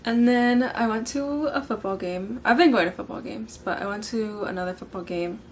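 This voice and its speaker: Hoarse, bubbly teenage girl chatting. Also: on the high side, moderate pace, a little hiss or hum. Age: late teens. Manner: bubbly, filler-heavy, says "like" constantly. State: hoarse from yelling. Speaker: podcast host. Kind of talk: casual chat.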